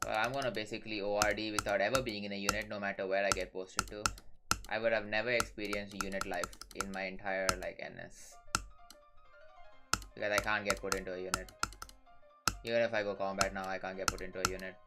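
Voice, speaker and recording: A man's voice: lowish voice, little pitch movement, quick pace, over loud music. A young man from Singapore, streaming a game and chatting offhandedly with viewers, often muttering and swearing. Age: young adult. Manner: offhand, profane, mutters to himself between chat replies. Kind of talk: casual live-stream chatter while gaming. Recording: clear clicking and keyboard typing behind.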